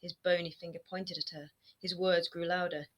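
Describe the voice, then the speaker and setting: A woman in her thirties, an author reading her own fantasy novel aloud at home in an expressive storytelling manner. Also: on the low side, moderate pace. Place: home room lined with bookshelves. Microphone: webcam framing, seated close to camera.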